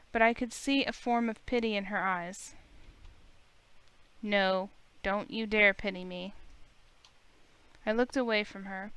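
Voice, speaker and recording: A woman voicing a grieving high school heroine, bitter yet earnest, in visual novel narration and dialogue. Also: fairly bright tone, clean tone, no room sound, close to an everyday voice.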